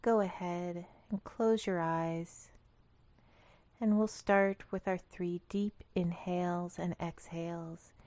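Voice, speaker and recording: Slow, gentle woman guiding meditation. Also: lowish voice, no echo. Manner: gentle, calm, instructional. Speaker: meditation guide. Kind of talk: guided body scan meditation.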